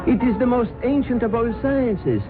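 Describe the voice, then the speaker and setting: An actor playing a solemn male temple guru who lectures on the immortal soul in a measured, intoning manner, in an old radio drama. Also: extremely high pitch. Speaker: cult leader preacher. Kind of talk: 1970s radio drama acting. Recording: phone-like old radio broadcast with music.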